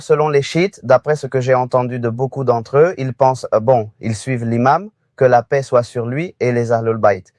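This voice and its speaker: A man's voice: medium pitch, darkish tone, no room sound, clean studio sound. A man from Afghanistan calling in to a debate show, earnest and insistent on finishing his question. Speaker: caller. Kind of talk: phone-in question.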